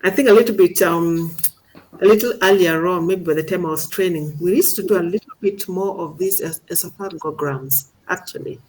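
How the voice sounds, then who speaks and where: A woman's voice: lowish voice, fairly quick, a little mellow, slight background noise, rough recording. A middle-aged woman, teaching radiology in an informal seminar and explaining things step by step with questions along the way. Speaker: radiology instructor.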